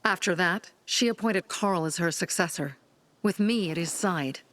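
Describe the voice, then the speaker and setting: Actress as a low-voiced, hardened young woman leader. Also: moderate pace, a bit bright, a little hiss or hum, lightly acted. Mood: somber recollection. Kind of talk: naturalistic video game acting.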